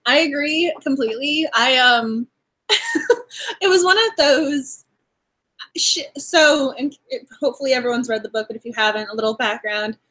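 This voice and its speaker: A woman from Massachusetts hosting a casual book club discussion, talking in an animated way and laughing often as she acts out her reactions. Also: high voice, moderate pace.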